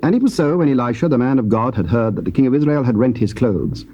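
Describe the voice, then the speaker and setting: An English man reading Bible narration in a steady, formal way on a lo-fi tape. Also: mid-range voice, fast talker, a little hiss or hum. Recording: dull lo-fi tape.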